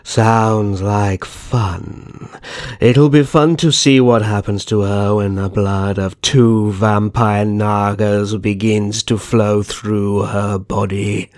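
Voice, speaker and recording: A man playing a smugly cruel, vengeful vampire naga in an ASMR roleplay, taunting and drawing out his words in a gravelly voice. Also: lowish voice, flat delivery, darkish tone, no room sound, studio quality. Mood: sadistic amusement. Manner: drawn-out, taunting.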